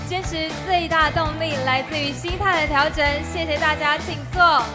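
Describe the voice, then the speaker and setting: A woman's voice: high-pitched, fairly even intonation, normal speed, music in the background. A woman emceeing a large convention, leading the crowd with upbeat call-and-response. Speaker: event emcee.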